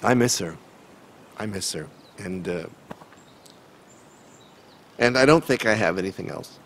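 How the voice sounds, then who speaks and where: A man's voice: lowish voice, animated, unhurried, slight background noise. An actor playing a weary, withdrawn, grieving man in a video game, with a subdued delivery. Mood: sad, lost. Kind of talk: dramatic video game acting.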